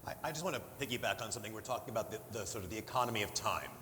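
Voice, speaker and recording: A man with a big voice speaking on a conference panel, lively and jokey, acting out little examples. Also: lowish voice, very fast. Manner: lively, jokey, talks in asides and acted examples. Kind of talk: panel discussion. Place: large conference hall. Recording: conference hall reverb with faint room noise.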